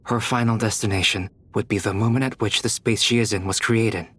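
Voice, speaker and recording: An actor playing a composed, analytical male scholar pondering aloud in a video game, with a husky voice. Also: fairly low pitch, steady tone, brisk, a bit bright, a little hiss or hum, natural voice.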